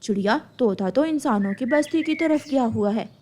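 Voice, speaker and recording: A woman's voice: fairly high pitch, animated, normal speed, dark tone, slight background noise, close to an everyday voice. A woman voicing a matter-of-fact, reproachful female neighbour bird in a children's cartoon.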